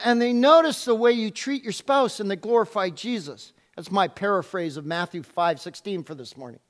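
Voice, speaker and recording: A man pastor preaching a sermon in a loud, emphatic voice, repeating his questions and dropping playful asides. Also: high-pitched.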